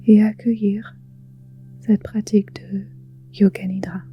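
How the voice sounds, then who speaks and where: A woman guiding a relaxation meditation in a soft, breathy, whispery voice, soothing, with gentle pauses. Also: mid-range voice, level tone, dull audio. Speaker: yoga nidra guide. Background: soft music with singing bowls.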